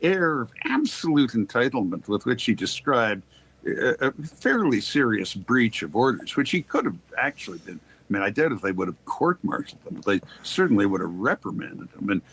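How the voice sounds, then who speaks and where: An older man from Quebec, an interview guest telling anecdotes in erudite, formal wording, discursive and halting with repeated starts. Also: mid-range voice, sing-song, a bit bright, slightly rough, rough recording. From Quebec, Canada. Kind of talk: anecdotal storytelling in conversation.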